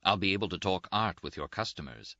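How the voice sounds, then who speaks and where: A teasing, affectionate young woman voiced by a male narrator in his low register talks lightly in audiobook dialogue. The delivery is lively, the sound dry and slightly dull. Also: brisk, vocal fry, no room sound, heavily acted. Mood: playful, affectionate. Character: bright, playful young woman, the narrator's companion.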